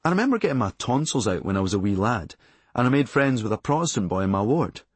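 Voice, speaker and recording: Husky Glaswegian man narrating, swooping pitch. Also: sing-song, fast, no room sound. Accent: Scottish, Glasgow. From Glasgow, Scotland. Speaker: audiobook narrator and author. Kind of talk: scripted memoir narration.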